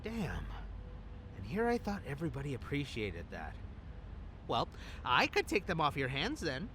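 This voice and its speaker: A man's voice: a little high, wildly swooping pitch, normal speed, bright, forceful tone, a little hiss or hum, heavily acted. A man playing a cocky, flirtatious secret agent in an audio drama, with a theatrical, teasing delivery. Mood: breezy.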